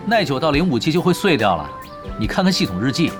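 Laid-back gamer boss, dry teasing, lively fast talk, music behind. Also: male voice, fairly high pitch. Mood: dry, exasperated. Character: laid-back wry male gamer team leader. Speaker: voice actor, lead character. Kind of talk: Chinese radio drama dialogue.

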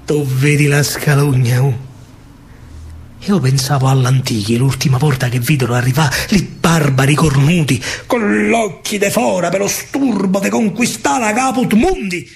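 High-voiced Roman man, dramatic storyteller. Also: normal speed, gravelly. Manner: declamatory, emphatic. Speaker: actor and storyteller. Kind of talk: dramatic verse narration, theatrical monologue. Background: music.

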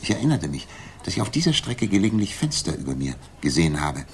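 An actor playing a confident, methodical Victorian detective in a 1960s German radio play, reasoning in a deliberate, commanding way. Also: male voice, low voice, very animated. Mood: explaining, assured. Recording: noisy 1960s radio drama recording.

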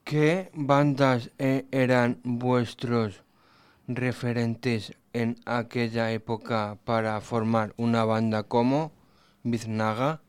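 A man co-hosting a radio interview, asking questions in an unhurried, hesitant way. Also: medium pitch, little pitch movement, clear, resonant tone, no room sound. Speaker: radio co-host.

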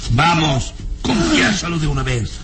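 A man's voice: on the high side, huge pitch swings, a little slow. An actor playing a menacing, domineering nobleman, a violent baron, in an old radio serial. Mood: furious, threatening. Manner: menacing, commanding, accusing. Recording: vintage radio serial, loud music behind.

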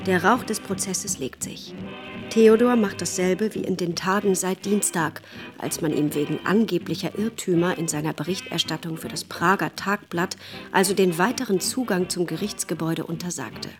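A woman acting a restrained narrator in a radio play. Her voice is fairly low, sober and measured, with faint music underneath. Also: natural voice. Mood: restrained, resigned. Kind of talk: scripted radio drama narration.

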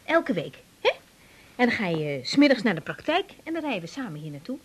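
An actress playing a caring, practical grown-up daughter, a young woman talking soothingly and probing gently, in a naturalistic radio drama. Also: on the high side, very animated, brisk, slightly rough. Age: young adult.

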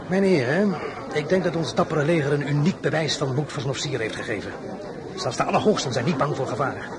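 An actor playing an imperious French emperor in a radio drama, pompous, sardonic and smoothly commanding, shifting between grand address and pointed asides. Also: male voice, fairly high pitch, moderate pace, pauses often, over a noisy crowd, lightly acted. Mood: mocking, grandiose.

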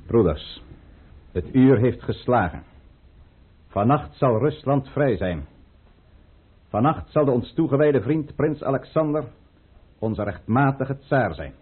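A husky aristocratic conspirator in an old, narrow-band radio drama. He gives orders in solemn, formal oratory with a very mobile pitch, cool and calculating. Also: expressive, slow, long pauses. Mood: solemn, commanding. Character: commanding, calculating aristocratic count leading a conspiracy. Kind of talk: Dutch radio play acting. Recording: thin, phone-like old radio recording.

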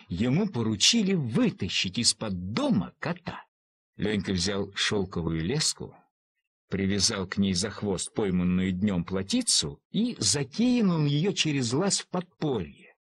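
A man reading a humorous story as an expressive, theatrical narrator who draws out words for emphasis in a husky voice. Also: medium pitch, no echo.

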